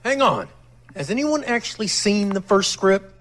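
A man in casual banter, talking in a slangy, excitable way. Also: high-pitched, moderate pace, slight background noise.

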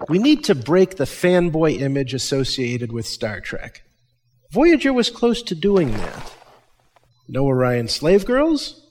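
Guy acting as wry theatrical audio drama dude, lively highish voice, comedy banter. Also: slow pace, slight background noise. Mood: eager. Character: enthusiastic male audio-show actor brainstorming with friends. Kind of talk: scripted comedy dialogue.

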